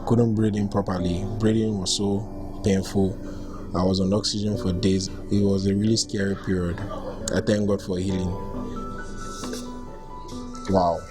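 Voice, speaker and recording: A man's voice: low voice, flat delivery, unhurried, pauses often. A man with a Nigerian accent, reading personal accounts aloud and reacting to them casually. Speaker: volunteer reader reacting to written accounts. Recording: music bed under the voice. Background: music.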